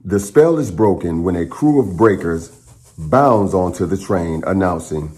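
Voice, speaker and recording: Low-voiced man reading a picture book expressively. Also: expressive, a little mellow. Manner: dramatic, pausing phrasing, speaks to young listeners. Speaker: children's storytime reader and channel host.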